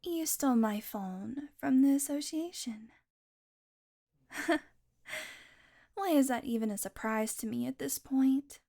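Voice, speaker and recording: A woman's voice: high voice, slow pace, creaky, long pauses, mellow, exaggerated voice. A woman playing a sardonic, predatory, centuries-old female vampire in a scripted audio roleplay, with dry, teasing sarcasm and grudging fondness for the listener. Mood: amused, sarcastic.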